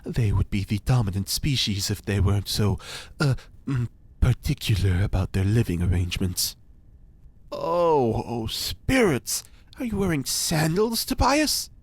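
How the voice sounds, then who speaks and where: A man's voice: medium pitch, big pitch swings, measured pace, slightly rough, no echo, full character voice. A man playing a pompous, theatrical occult scientist. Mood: grandiose, then fussy. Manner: grandiose, theatrical, lecturing. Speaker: reporter's informant. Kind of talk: audio drama acting.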